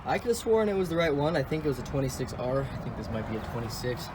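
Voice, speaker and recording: A young man talking casually while he works over a cheap car, thinking out loud and tossing in sarcastic jokes. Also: mid-range voice, very animated, fast, noisy background. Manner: wisecracking, sarcastic asides; offhand, thinks aloud while working on a car. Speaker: car vlogger. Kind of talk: casual vlog commentary.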